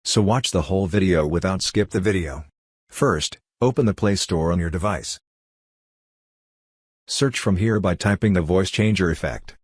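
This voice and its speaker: A man's voice: low voice, moderate pace, breathy edge, no room sound, studio quality. A man narrating a step-by-step tutorial as presenter, in an instructional way with non-native English phrasing.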